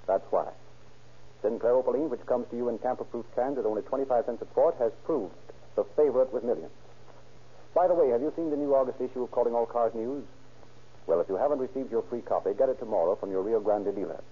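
A man's voice: medium pitch, husky. Tinny 1930s radio commercial announcer, a man. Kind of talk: 1930s radio advertisement, scripted sales pitch.

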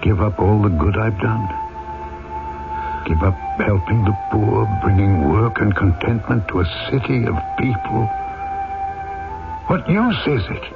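Tormented ex-convict, low and tinny. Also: male voice, expressive, measured pace, over loud music. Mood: anguished. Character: tormented reformed ex-convict mayor recounting his past. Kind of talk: old-time radio drama acting. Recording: tinny old radio recording.

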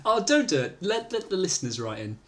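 A man's voice: sits high, very animated, fairly quick, a crowd in the background. A man co-hosting a casual podcast, reading out a story chapter and chatting with a dry manner.

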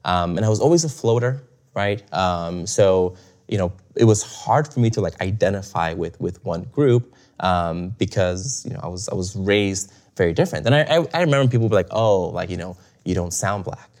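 Deep-voiced man, reflective and chuckling. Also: lively intonation, moderate pace, a little mellow. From Bulgaria. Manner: reflective, many fillers and restarts, chuckles often. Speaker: interview guest. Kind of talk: casual conversational interview.

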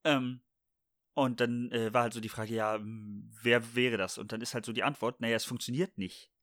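A man in relaxed conversation, playful and jokey, who often trails off and starts his sentences over. His voice sits in an ordinary middle range. It sounds dry and close, with no room around it. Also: mid-range voice, normal speed, dry recording. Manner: playful, jokey, rambling with many restarts. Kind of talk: casual chat.

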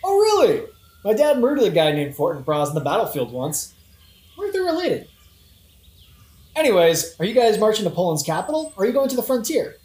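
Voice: mid-range voice, huge pitch swings, fairly quick, a little mellow. An actor playing a flippant, sardonic, brooding young prince in a satirical modern-language audio play. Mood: casual, curious. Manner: flippant, mocking, theatrical. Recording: roomy echo, faint music behind.

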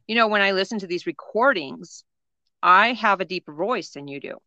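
A woman's voice: mid-range voice, expressive, normal speed, bright, forceful tone, dry recording. A middle-aged woman co-hosting a casual chat podcast, talking unhurriedly and laughing often. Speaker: podcast co-host. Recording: dull lo-fi dry recording.

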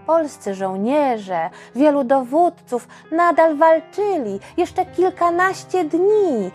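A woman host narrating a history story for children in a colloquial, expressive way. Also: high-pitched, slow. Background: background music.

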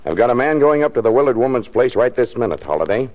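A man's voice: medium pitch, sing-song, fast talker, soft background music, close to an everyday voice. An American actor playing a confident, businesslike lawman in a 1940s radio drama. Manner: businesslike, assured. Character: brisk, confident male lawman. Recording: muffled 1940s radio recording.

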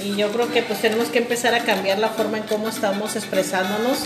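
A woman's voice: medium pitch, level tone, fast talker. Playful woman, echoey studio. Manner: teasing, playful. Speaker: co-host. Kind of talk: casual panel discussion. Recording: echoey room with music behind.

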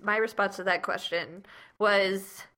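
A woman's voice: medium pitch, steady tone, measured pace, pushed and bright. A woman co-hosting a casual chat podcast, making dry, wry quips. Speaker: podcast co-host.

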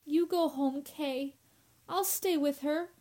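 A woman's voice: high voice, takes it slowly, mellow. A woman playing an anxious, insistent young working woman in a radio horror drama, with an earnest, dramatic delivery. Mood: worried, pleading.

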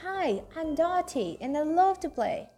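Woman voicing a bubbly, high-pitched toy horse. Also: very animated, measured pace, a little mellow, soft background music, heavily acted. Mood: playful, excited. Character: Dottie, bouncy playful female spotted toy horse.

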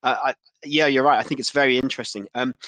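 Halting man hosting, mid-pitched voice. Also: steady tone, fairly bright tone, low-quality recording. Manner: halting, repeats words as he forms questions. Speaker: podcast host. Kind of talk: casual interview chat.

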